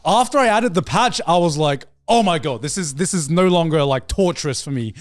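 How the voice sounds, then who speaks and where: A man co-hosting a podcast, chatting casually and haltingly, repeating words as he goes. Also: on the high side, expressive, no echo, clean studio sound. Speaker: podcast co-host.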